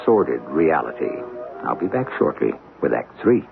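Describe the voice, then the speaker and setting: A man playing the solemn host of a suspense radio series, narrating in a measured, dramatic way. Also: extremely low pitch, big pitch swings, creaky, exaggerated voice. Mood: solemn, ominous. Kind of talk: old-time radio scripted narration. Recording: tinny radio broadcast over music.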